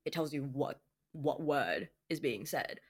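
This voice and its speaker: A young woman with a low contralto voice, co-hosting a casual podcast chat, wry and quick to laugh. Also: expressive, slightly rough. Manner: wry, laughs often, dry quips. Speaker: podcast co-host.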